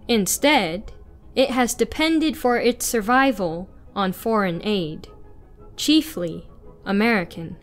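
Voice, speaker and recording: A young woman reading a written article aloud as narration, in a deliberate, formal manner. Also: fairly high pitch. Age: young adult. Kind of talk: scripted read-aloud narration. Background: music.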